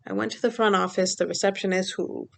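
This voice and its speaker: A woman's voice: medium pitch, fairly even intonation, fast talker, gravelly. A young woman from Mexico talking casually in a personal vlog, rambling with many fillers. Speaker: vlogger.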